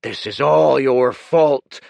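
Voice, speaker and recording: A man voicing a huge, hot-tempered young man who shouts and snarls, his voice cracking with grief. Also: mid-range voice, slow pace, no echo, voice pushed up. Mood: furious.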